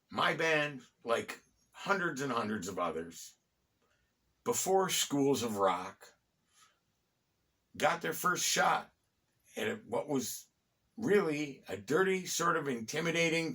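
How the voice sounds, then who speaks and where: A middle-aged American man hosting a conversational, storytelling history talk, phrasing deliberately with pauses and dropping in wry asides. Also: medium pitch, a bit bright. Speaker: host and storyteller of a local history series. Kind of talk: conversational bedtime-story style history narration.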